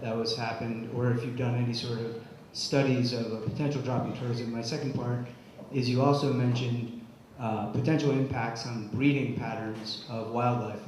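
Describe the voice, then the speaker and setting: A man's voice: medium pitch, little pitch movement, brisk. Courteous man in a very echoey hall. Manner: polite, courteous. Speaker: audience member questioning a panel. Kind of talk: polite spoken question at a forum. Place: public meeting hall. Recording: very echoey hall, faint background noise.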